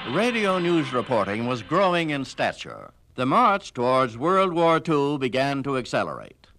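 A man's voice: mid-range voice, very animated, normal speed, fairly bright tone, slight background noise. A man reading scripted radio documentary narration in a formal, dramatic manner.

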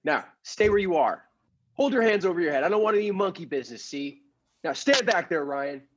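A husky radio-play clerk, acted by a man, meek at heart but prone to gangster swagger. His pitch swings freely, from stammering husband to clipped tough guy. The sound is a little dull. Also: animated, a little fast. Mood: menacing swagger. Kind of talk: improv podcast performance of classic radio comedy play.

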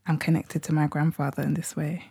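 A woman's voice: low-pitched, little pitch movement, brisk, vocal fry, dark tone, slightly rough. A woman hosting a podcast, asking her guest questions in a friendly, curious way. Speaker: podcast host.

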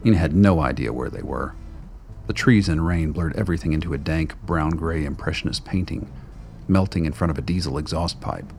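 Very deep, gravelly male narrator. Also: a little fast, a little mellow, faint music behind. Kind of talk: scripted radio drama narration.

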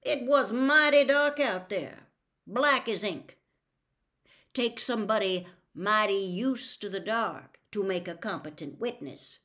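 A woman's voice: medium pitch, slow, bright, forceful tone. A woman voicing a stubborn small-town sheriff, blunt and insistent. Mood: pleading. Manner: blunt, insistent, drawling country talk. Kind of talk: audiobook dialogue reading.

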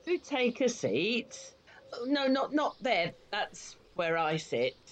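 Woman playing a fussy, unhurried older mum. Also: fairly high pitch, sing-song, background noise. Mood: fussy, welcoming. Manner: fussy, effusive hostess. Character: fussy, hospitable older mother, scolding her husband. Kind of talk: audio play acting.

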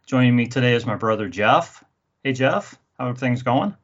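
A man's voice: medium pitch, lively intonation, moderate pace. A man hosting a sports podcast, chatting casually and rambling a little as he introduces his guest. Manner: rambling, many fillers and restarts. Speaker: podcast host. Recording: dull lo-fi audio.

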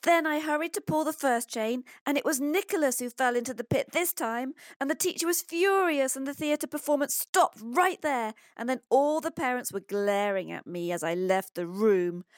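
A woman's voice: a little high, moderate pace, few pauses, no echo, voice pushed up, close to an everyday voice. A woman voicing an anxious, agitated schoolboy in a children's story, breathless and exclaiming. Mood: breathless, distressed.

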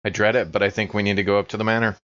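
A man's voice: on the low side, level tone, rapid-fire, fairly bright tone. A man playing a tabletop game, casually describing his character's actions and bantering, on slightly muffled audio.

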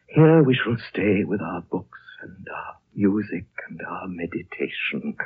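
An actor playing an ancient, wise and grave lama with a husky voice, solemn and oratorical in a 1930s radio drama style. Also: male voice, low voice, exaggerated voice. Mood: solemn, hushed. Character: ancient wise High Lama, gentle, grave, foreboding prophet. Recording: muffled vintage 1930s radio recording.

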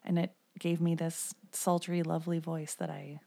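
Woman podcast cohost, lowish gravelly voice, casual and rambly. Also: little pitch movement, moderate pace, dark tone. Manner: chatty, self-deprecating, many filler words. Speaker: podcast co-host. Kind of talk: casual chat.

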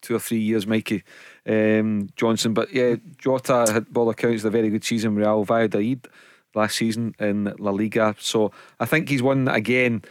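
A man's voice: fairly low pitch, level tone, moderate pace, clear, resonant tone, dry recording. A man giving football analysis on radio, rambling with many restarts. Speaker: football pundit.

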